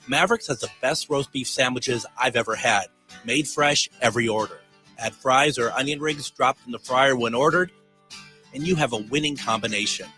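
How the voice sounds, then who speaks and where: Chatty radio host, many "uh"s. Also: male voice, medium pitch, normal speed, sharp tone, soft background music. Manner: chatty, restarts often with "uh". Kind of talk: casual radio talk and live ad reads.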